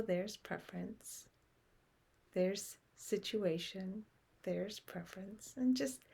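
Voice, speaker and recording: A woman leading a guided meditation in a slow, gentle way, with long pauses between short phrases. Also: mid-range voice, gravelly, breathy edge.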